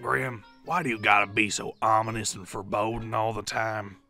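A man playing a folksy, excitable, good-natured farmer in a fantasy audio drama, full of homely exclamations. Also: on the low side, normal speed, soft background music. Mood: worried, chatty.

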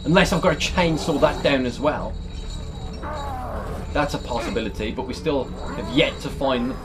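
A man's voice: mid-range voice, moderate pace, music in the background, poor audio. A British man, around his thirties, giving casual, sweary, exasperated reaction commentary as he plays a horror game. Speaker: let's player. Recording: clear background noise and game ambience.

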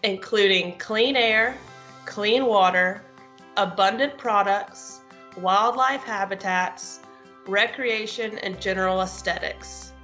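Female, young adult. Formal document reader, crisp bright edge, faint music behind. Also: mid-range voice, slow pace. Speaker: forestry employee reading a resolution. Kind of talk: formal reading aloud. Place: home room indoors. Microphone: webcam video call.

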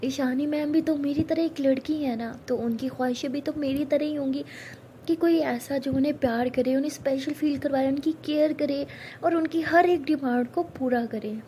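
A woman's voice: high-pitched, normal speed, mellow, a little hiss or hum, natural voice. A woman voicing a playful, stubborn young woman in love who whines and protests in a teasing way. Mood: scheming, sweet. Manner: whiny, teasing, pouty protests.

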